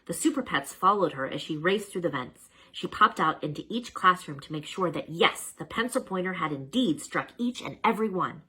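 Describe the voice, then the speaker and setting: A woman narrates a children's storybook as a read-aloud. Her voice sits a little low but is very lively in pitch. It is forceful and somewhat husky. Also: moderate pace, few pauses, poor audio. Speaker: storybook reader.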